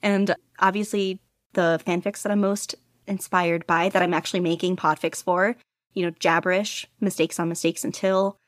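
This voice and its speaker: A woman's voice: lowish voice, flat delivery, moderate pace, no echo, clean studio sound. A woman guest in a casual interview, talking eagerly about her hobby with many "like"s and laughs. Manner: gushing, lots of "like" and laughter. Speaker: guest podficcer.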